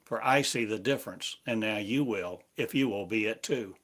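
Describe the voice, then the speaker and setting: A man preaching a prophetic sermon, speaking slowly and solemnly in the voice of God, with long pauses between phrases. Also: on the low side, a bit bright.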